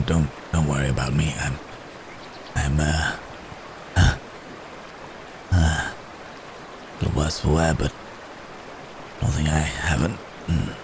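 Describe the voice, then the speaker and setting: A man playing a wounded, gentle cursed werewolf in an ASMR roleplay, halting and hesitant, with pained sighs and hums. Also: extremely low pitch, noisy background, heavily acted. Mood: pained, stoic. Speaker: audio roleplay performer.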